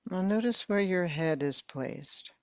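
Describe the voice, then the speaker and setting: A woman meditation teacher slowly leading a guided meditation in a soft, calm voice with long pauses. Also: lowish voice, no echo, dull audio.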